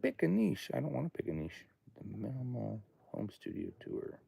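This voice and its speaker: A man's voice: medium pitch, huge pitch swings, normal speed, creaky, dark tone, breathy edge, dry recording. A man in his late thirties chatting casually on a livestream from his home studio, thinking aloud and rambling between topics. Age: 30s. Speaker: livestream host, studio owner.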